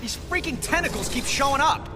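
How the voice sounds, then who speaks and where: Casting: young American game superhero, high and very animated, quippy and sarcastic. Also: male voice, brisk. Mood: sarcastic, exasperated. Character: wisecracking, weary young male superhero. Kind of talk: video game cutscene acting. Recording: loud game music behind. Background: game music.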